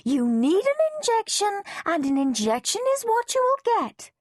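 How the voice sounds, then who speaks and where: A stern female nurse in a children's story, put on by a woman narrator. She is brisk and bossy, calling out in a high, shrill, animated voice. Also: no echo, studio quality.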